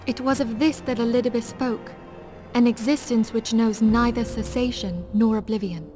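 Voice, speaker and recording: Woman acting composed noble lady leader from a fantasy game, highish voice, formal old-timey wording, music behind her. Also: fairly even intonation, darkish tone, lightly acted. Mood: grave, reflective. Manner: formal, measured, archaic diction. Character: composed, learned noblewoman leader, earnest and resolute. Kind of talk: video game cutscene acting. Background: background music.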